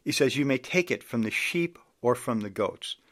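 A man's voice: medium pitch, lively intonation, normal speed, fairly bright tone. A man in his sixties preaching an earnest sermon, reading scripture aloud and exhorting his listeners. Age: 60s.

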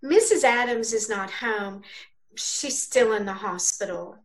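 A woman playing a solemn, polite lady on the telephone in an old-time radio drama, measured and formal. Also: medium pitch, close to an everyday voice, rough recording. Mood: polite, guarded.

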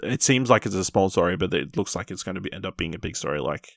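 A man's voice: low-pitched, animated, fast talker, gravelly, no echo, studio quality. A man hosting a podcast and chatting casually about films. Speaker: podcast host. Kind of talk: casual film review chat.

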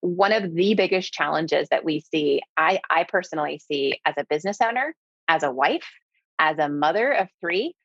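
A woman's voice: low-pitched, lively intonation, normal speed, fairly bright tone, breathy edge, no room sound, highs cut off. A woman who runs her own business, speaking emphatically in an interview and repeating key words for stress. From southeastern United States. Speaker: guest, business owner. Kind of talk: conversational interview.

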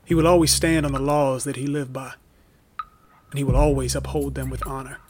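A male actor playing a wrongly imprisoned young man telling his story in a halting, reflective monologue for a documentary audio drama. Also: medium pitch, flat delivery, slight background noise, close to an everyday voice. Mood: solemn, earnest.

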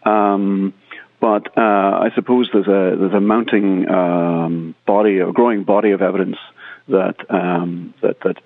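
A middle-aged man, a critical care consultant speaking in a measured way and repeating words as he thinks, in a remote podcast interview. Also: low voice, steady tone, slight background noise. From Northern Ireland. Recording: tinny phone-like line, dry.

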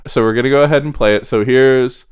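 A man's voice: medium pitch, quick pace, clean tone. A man co-hosting a podcast in casual chat, talking in a chatty, self-deprecating way. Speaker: podcast co-host.

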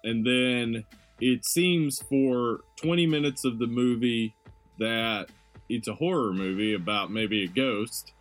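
A man with a loud voice co-hosting a podcast, chatting about a movie in an easygoing way. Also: mid-range voice, takes it slowly, soft background music. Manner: easygoing, agreeable, frequent laughs and "yeah"s. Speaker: podcast co-host. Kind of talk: casual podcast chat.

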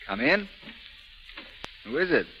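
Bantering patient on crackly old radio. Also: male voice, mid-range voice, very animated, slow pace. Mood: playful. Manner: bantering, wry. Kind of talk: 1930s radio drama acting. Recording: muffled, noisy 1930s radio recording, crackly hiss.

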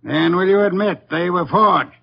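An imperious master detective speaks from a muffled 1950s radio show. His voice is a touch high for a man. Every phrase is formal, precise and self-important. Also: moderate pace, creaky, slightly rough, full character voice. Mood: accusing.

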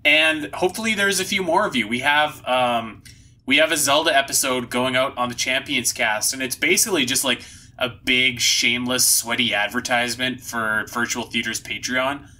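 A man's voice: medium pitch, normal speed, bright, forceful tone. A man hosting a podcast in a casual chat style, with an upbeat, slangy manner. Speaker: podcast host.

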